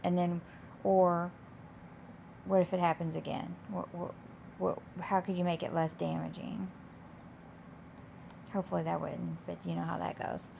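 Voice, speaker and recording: A woman instructor with a slightly raspy, hoarse voice, explaining coursework in a casual, loosely phrased, conversational way. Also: on the low side, level tone, a little slow. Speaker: college instructor. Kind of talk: informal explanation of course assignments. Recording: clear background noise.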